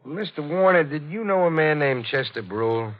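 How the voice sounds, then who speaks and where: An actor playing a courteous, businesslike police lieutenant in a 1940s radio mystery. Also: male voice, fairly high pitch, expressive, normal speed, lightly acted. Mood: businesslike, probing. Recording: muffled 1940s radio recording.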